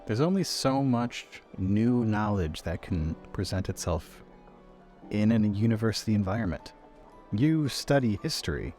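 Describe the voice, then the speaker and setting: Man as a low-voiced, stubborn young academic. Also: lively intonation, darkish tone, faint music behind. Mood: firm, earnest. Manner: measured, pausing mid-sentence. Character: restless, principled young male academic, politely stubborn. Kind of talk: improvised tabletop roleplay.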